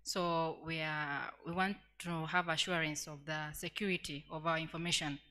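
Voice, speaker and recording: Female delegate, Tanzanian accent, forceful tone, lower register, formal question from the audience. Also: steady tone, normal speed. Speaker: audience member asking a question. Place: conference hall.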